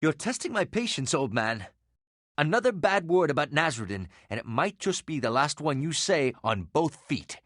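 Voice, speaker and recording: Fiery, earnest young loyalist. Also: male voice, a little high, big pitch swings, normal speed, fairly bright tone, no room sound, clean studio sound. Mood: indignant. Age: young adult. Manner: indignant, earnest, dramatic. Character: hot-headed, loyal young male follower, devout. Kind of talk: video game voice acting.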